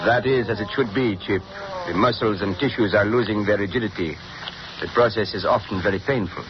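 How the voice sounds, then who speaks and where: Man acting a villainous mad scientist, deep-ish voice, measured and menacing, muffled 1930s radio recording. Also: natural voice. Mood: clinical. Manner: measured, coldly formal and menacing. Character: bitter male mad scientist, cold and commanding. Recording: muffled old radio broadcast with hiss.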